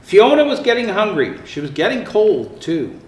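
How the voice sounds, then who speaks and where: A man reading a children's picture book aloud in an expressive, theatrical way with drawn-out emphasis. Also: high voice. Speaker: storyteller dressed as Santa Claus. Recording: roomy echo, faint background noise.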